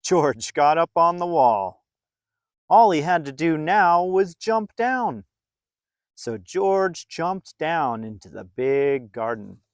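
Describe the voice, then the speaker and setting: A man reading a story aloud to young children in a playful, expressive way, with dramatic emphasis and drawn-out words. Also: fairly high pitch, no room sound, studio quality.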